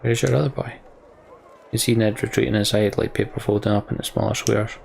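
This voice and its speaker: A man's voice: lowish voice, fairly even intonation, a little fast, gravelly, a little mellow, a little hiss or hum. A man reading story text from a game aloud and adding casual commentary as he plays. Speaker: let's player.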